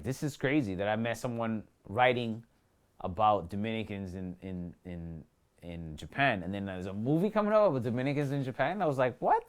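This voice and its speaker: Slangy New York man chatting in an interview. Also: fairly low pitch. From New York City. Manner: slangy, repeats himself, acts out quoted remarks. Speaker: actor being interviewed. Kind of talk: casual interview chat.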